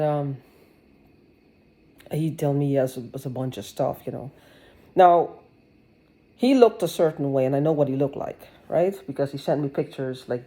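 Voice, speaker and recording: A woman's voice: rumbling low, mellow, poor audio. Agitated woman ranting to camera. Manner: agitated, sarcastic, swears, many restarts. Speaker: vlogger. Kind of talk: rambling personal monologue.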